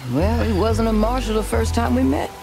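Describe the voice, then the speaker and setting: A woman's voice: fairly high pitch, moderate pace, creaky, over music. An actress playing a mysterious, easygoing frontier woman in a video game, lightly teasing. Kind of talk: video game cutscene acting.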